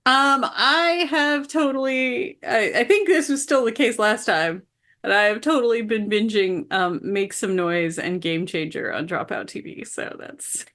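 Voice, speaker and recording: A woman's voice: a little high, measured pace, a bit bright. A woman co-hosting a podcast, chatting casually and informally about a book. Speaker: podcast co-host.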